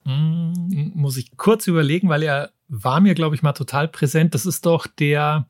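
A man with a fairly high voice co-hosts a podcast, chatting casually. The recording is dry, with very little room sound. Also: normal speed, dry recording. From Munich, Germany. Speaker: podcast co-host. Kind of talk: casual conversational chat.

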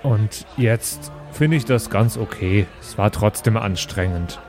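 A man's voice: fairly low pitch, takes it slowly. A man playing a sociable, chatty young adventurer in a tabletop game, talking in an earnest, rambling way. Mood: friendly. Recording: music and faint crowd sounds behind.

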